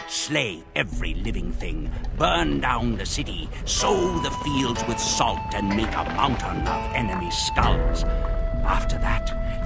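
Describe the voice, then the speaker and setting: Game cutscene narrator: weathered Mongol storyteller, deepish creaky voice, portentous and measured. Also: male voice, heavily acted. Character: solemn Mongol chronicler, a weathered steppe tribesman telling his people's epic. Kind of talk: scripted dramatic storytelling narration.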